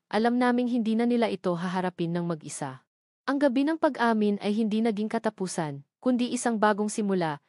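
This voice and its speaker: A woman's voice: medium pitch, darkish tone, no room sound, studio quality. A woman reading a scripted first-person love story as a dramatic narrator. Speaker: narrator of a romance audio drama.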